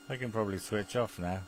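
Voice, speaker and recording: A man's voice: lowish voice, slight background noise. A British man in a rambling, chuckly casual chat, often muttering to himself as he operates an amateur television link.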